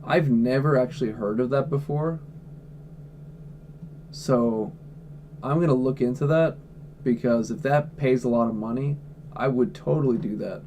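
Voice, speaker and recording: Male streamer at home, casual and blunt, mellow tone, faint background noise. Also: medium pitch, unhurried, pauses often. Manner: blunt, offhand, swears freely. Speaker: livestreamer answering chat questions. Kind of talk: casual livestream chat. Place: at home indoors.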